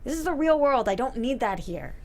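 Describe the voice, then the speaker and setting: A woman's voice: on the high side, big pitch swings, a little fast, darkish tone, a little hiss or hum. A woman playing a blunt, exasperated young woman, sarcastic and emphatic, at a sitcom table read. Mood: indignant. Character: blunt, exasperated young woman, bossy best friend and sister.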